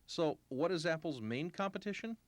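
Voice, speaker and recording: A man's voice: a little high, expressive, normal speed. A man TV news reporter giving a scripted report on an archival 1980s broadcast. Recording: busy crowd noise behind, 1980s TV.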